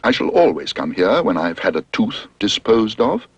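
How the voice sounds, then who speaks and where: A man's voice: on the low side, big pitch swings, moderate pace, slightly rough, no echo, lo-fi sound, full character voice. A man voicing a polite, earnest young male bear in a storybook reading, with prim, proper diction.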